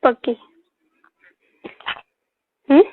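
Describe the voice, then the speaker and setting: A woman with a Rajasthani Marwari accent chatting casually on the phone in short, questioning replies. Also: very slow. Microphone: telephone. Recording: muffled low-fidelity phone call recording.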